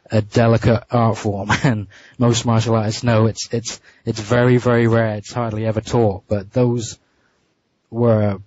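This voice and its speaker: A man with a low, husky voice speaks as an interview guest, often stumbling and repeating words as he goes. The sound is dry and muffled, like a lo-fi radio line. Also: a little mellow, dry recording. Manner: halting, many restarts and repeats. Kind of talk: casual interview talk.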